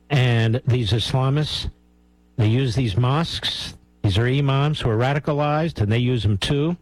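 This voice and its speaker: A man's voice: mid-range voice, a little mellow, no room sound, low-quality recording. Man hosting talk radio, close and dry. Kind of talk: live call-in radio talk and sign-off. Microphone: close studio radio microphone.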